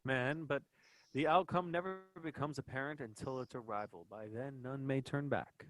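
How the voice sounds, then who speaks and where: A man's voice: medium pitch, moderate pace, fairly bright tone, natural voice, low-quality recording. A man playing a grave dead soul urging repentance in a play read-through. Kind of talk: acting in a virtual table read.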